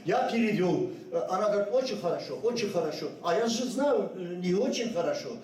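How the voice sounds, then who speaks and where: Older man, high voice, echoey hall. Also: expressive, a little mellow, far from the mic. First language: Ossetian. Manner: halting, many hesitations and restarts. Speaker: guest speaker at a memorial ceremony. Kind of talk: unscripted ceremonial speech. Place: school hall at a public ceremony.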